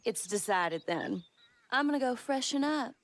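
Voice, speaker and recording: A woman's voice: a little high, animated, lightly acted. An actress playing a brassy, creepily sweet backwoods farm woman in a video game, flirting and teasing in a Southern American accent. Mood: coy, teasing. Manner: coy, teasing, drawn-out. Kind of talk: video game character acting.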